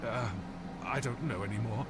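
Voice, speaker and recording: An actor playing a cursed, anxious would-be knight in a video game, speaking with a gloomy, doom-laden delivery. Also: male voice, mid-range voice, normal speed, close to an everyday voice. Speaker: game character. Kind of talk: voiced game dialogue. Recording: game audio with clear background noise.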